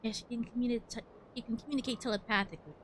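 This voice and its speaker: A woman's voice: a little high, fairly even intonation, very fast, slight background noise, rough recording. A woman streamer giving casual, musing commentary on a game playthrough, hesitant but enthusiastic. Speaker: VTuber streamer.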